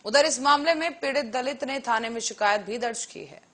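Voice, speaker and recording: Lady reading tv news, slightly high voice, anchor style. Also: fairly quick, a bit bright. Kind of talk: Hindi TV news bulletin reading.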